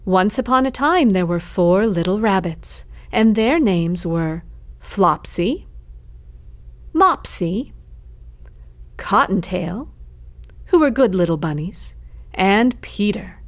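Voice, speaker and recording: A woman storyteller narrating a scripted children's tale in a measured way suited to young listeners. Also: medium pitch, expressive.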